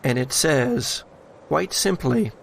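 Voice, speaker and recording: Guy running a horror rpg, slow suspenseful narration, gravelly voice, bit of background noise. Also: medium pitch, lively intonation. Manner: deliberate, suspenseful, with drawn-out pauses. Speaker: game master. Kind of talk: dramatic tabletop horror narration.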